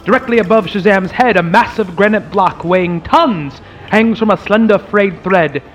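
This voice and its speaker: A man's voice: sits high, normal speed, fairly bright tone, faint music behind. A man narrating a dramatic old-time radio serial in a theatrical announcer's manner. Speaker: podcast host and narrator.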